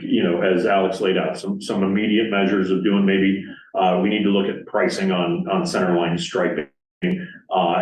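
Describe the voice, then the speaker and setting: A man on staff answering board questions at a public meeting, hesitant and full of fillers. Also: low voice. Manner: hesitant, many fillers and restarts. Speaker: parks staff presenter. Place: council meeting room. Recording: roomy, distant meeting-room mic.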